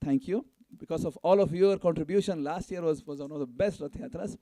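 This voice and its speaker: High-pitched man rallying a gathering. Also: a little fast, no echo. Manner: exhorting, prompting the crowd for louder responses. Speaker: event announcer and fundraiser at a temple. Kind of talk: live community announcements, rallying the audience. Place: spoken live to a gathering at a temple event.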